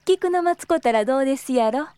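Kyoto innkeeper, courteous and attentive, high register, Kyoto accent, audio drama. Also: female voice, animated, a little fast, slight background noise. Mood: courteous, welcoming. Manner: polite, soft traditional Kyoto phrasing. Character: gracious, attentive Kyoto inn proprietress.

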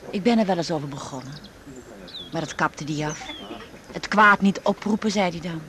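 An actress plays a middle-aged widow in an eighties radio drama. She is wistful and talks in a hesitant, reflective way, naturally and without theatrics. There is clear noise on the recording. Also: fairly low pitch, moderate pace, a bit bright, lightly acted. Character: wistful widow with a grown son.

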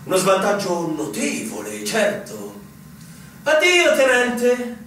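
An actor playing an army officer in a radio drama, speaking in an emphatic, theatrical manner. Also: male voice, very high-pitched, huge pitch swings, slow, a bit bright, very echoey, recorded from a distance, a little hiss or hum, exaggerated voice, rough recording. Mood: officious, evasive.

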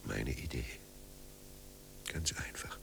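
An actor in a German radio play as a proud, suspicious émigré Austrian writer who drinks, formal and brooding. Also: male voice, takes it slowly, noisy background, lightly acted. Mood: hushed, pleading. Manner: grandly formal, dramatic, brooding.

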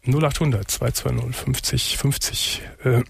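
Hoarse man hosting late-night radio. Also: medium pitch, a little slow, no room sound. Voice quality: husky. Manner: calm, earnest, encouraging. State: hoarse from a sore throat. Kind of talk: call-in radio talk.

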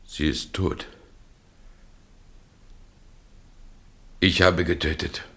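Male, low register: grave unwilling murderer in vintage radio drama, solemn and weighty. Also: steady tone, a little slow, fairly bright tone, exaggerated voice. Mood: stunned, bleak. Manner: solemn, weighty short statements. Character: Pedro, grave man turned unwilling murderer, despairing. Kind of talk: 1960s German radio play acting.

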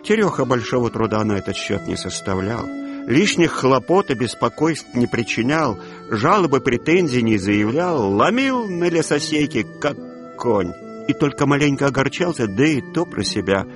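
A man reading scripted literary narration for a radio drama, measured and colloquial in his storytelling. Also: mid-range voice, big pitch swings. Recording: music bed behind the voice.

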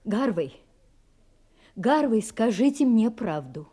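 A woman's voice: a little high, big pitch swings, slow, dark tone, slightly rough, heavily acted. An actress playing a proud, wounded, melancholy young lady in an audio drama, speaking in a grave, restrained way. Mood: grave, probing.

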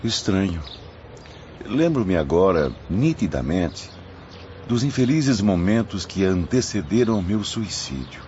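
Slow, wistful, homesick spirit. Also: male voice, low-pitched, sing-song, darkish tone, a little hiss or hum. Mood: regretful. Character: João, timid, regretful, homesick male spirit. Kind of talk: radio drama acting. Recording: muffled lo-fi, faint background ambience.

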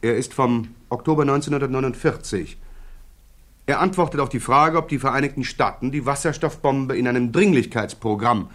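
A man's voice: medium pitch, fairly quick, a bit bright, lightly acted. An actor playing an insistent male prosecutor in a radio play, pressing, precise and formal. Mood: coolly insistent. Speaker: interrogating counsel. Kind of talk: German radio play acting.

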